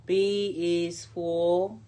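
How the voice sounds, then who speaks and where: A woman's voice: on the low side, flat delivery, drawn-out words, dark tone. A middle-aged woman reading a picture book aloud to a young child in simple short phrases, drawing out key words and pointing things out with questions.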